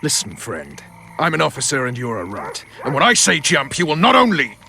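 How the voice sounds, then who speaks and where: A man's voice: medium pitch, wildly swooping pitch, brisk, gravelly, sharp tone, slight background noise, heavily acted. A man voicing a proud, roguish military officer, commanding and sardonic, in a video game. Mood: contemptuous.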